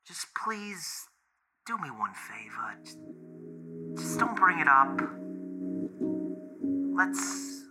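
An actor playing an anxious, guilt-ridden boyfriend in an audio drama, rambling in halting, slangy, self-deprecating speech as if leaving a voicemail. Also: male voice, lowish voice. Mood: distraught, pleading.

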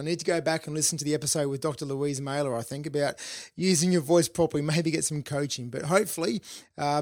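A man with a fairly high voice talks through his podcast episode on his own, upbeat and informal. He sounds slightly hoarse, as if losing his voice. The sound is dry and close to the mic. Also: no echo. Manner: upbeat, informal, with fillers and restarts. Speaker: podcast host. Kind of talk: solo podcast monologue.

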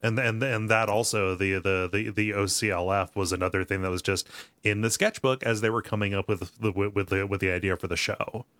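A man with a deep voice co-hosting a casual podcast, wry and teasing in his banter. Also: steady tone, brisk, fairly bright tone, no room sound, clean studio sound. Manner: wry, teasing, laughs often. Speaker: podcast co-host. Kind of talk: casual chat, joking banter.

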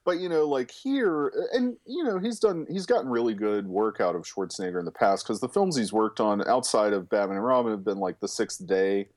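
Casting: man, lowish voice, relaxed profane podcast film talk. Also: brisk, hardly any pauses. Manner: loose and profane, lots of fillers. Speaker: podcast co-host, film reviewer. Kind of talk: casual chat.

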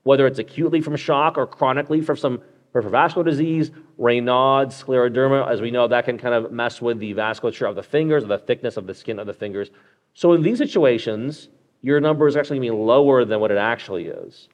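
A man's voice: medium pitch, fast, highs cut off. A man hosting a live medical podcast. He walks through clinical cases in a conversational way, with lots of fillers and check-ins with the listener.

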